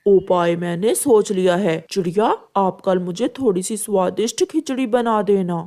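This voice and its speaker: A woman voicing a clever, smooth-talking male pigeon in a children's cartoon story, with a coaxing, deliberate delivery. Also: medium pitch, dark tone, lightly acted. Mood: sly, confident. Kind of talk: animated children's moral story voice acting.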